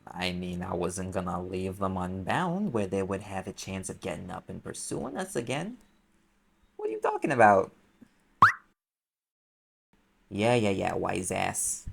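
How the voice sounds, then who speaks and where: Man acting a gravelly, sarcastic private eye. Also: low voice, big pitch swings, normal speed, a little hiss or hum, exaggerated voice. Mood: exasperated. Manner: sarcastic, exasperated, conversational explaining. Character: sarcastic male private eye mage, impatient and wisecracking. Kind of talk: audio roleplay acting, one side of a dialogue.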